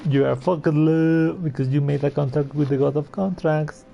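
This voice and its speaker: A man's voice: a little high, fairly even intonation, normal speed, vocal fry, muffled recording. A man giving casual, informal, sweary commentary while he plays and reacts to a video game. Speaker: gamer playing and reacting on video.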